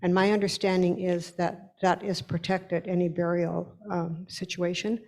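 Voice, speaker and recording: Polite woman raising a concern, lowish voice. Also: flat delivery, darkish tone. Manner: polite and measured. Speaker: parishioner asking a question. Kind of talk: public question at a parish meeting. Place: church meeting room.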